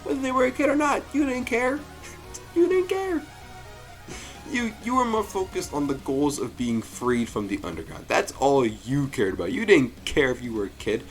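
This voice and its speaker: A man giving a casual, jokey review commentary as the host, with animated delivery and frequent asides. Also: fairly high pitch, normal speed. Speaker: host of a fan story review show. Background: music.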